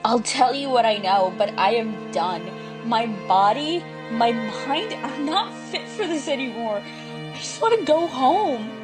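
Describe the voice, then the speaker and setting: Audio drama actress: broken captive villainess, weary, pleading, slow delivery in a high register. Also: female voice, lively intonation, poor audio. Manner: drained, pleading. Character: weary, broken captured female spy turned villainess. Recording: music under the voice.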